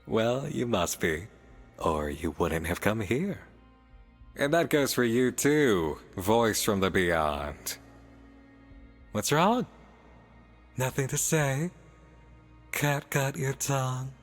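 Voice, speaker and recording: An actor playing a sly, playful demon in a visual novel, teasing, cryptic and theatrical. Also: male voice, medium pitch, expressive, takes it slowly, long pauses. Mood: mocking, playful. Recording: long reverb, music behind.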